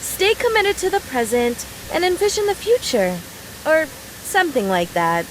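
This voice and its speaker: A high-voiced, brash young woman soldier from a game drama. She is boisterous, affectionate and teasing, swinging widely in pitch over faint hiss. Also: very animated, normal speed, low-quality recording. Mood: casual, reflective.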